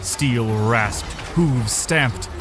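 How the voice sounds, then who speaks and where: A man narrating a dramatic story in a solemn, theatrical, deliberate way. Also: mid-range voice. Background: music.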